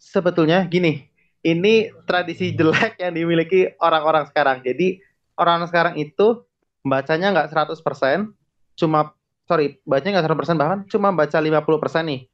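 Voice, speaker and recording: A young man talks as a podcast guest, laying out his points in relaxed everyday slang with frequent chuckles. His voice sits a little high. The recording is dull and lo-fi. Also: a little fast. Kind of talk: casual podcast chat.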